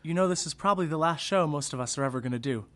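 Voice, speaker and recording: Guy playing a polite nervous costumer, lightish high male voice, bit hesitant n wry, audio drama. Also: rapid-fire, fairly bright tone, natural voice. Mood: dry, resigned. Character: nervous, polite theatre costumer with dry candour.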